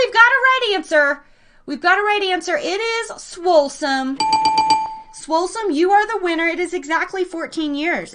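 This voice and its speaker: A woman's voice: extremely high pitch, pushed and bright. A woman hosting a casual live trivia stream, chatting informally and slangily with her listeners. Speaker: live show host running a trivia quiz.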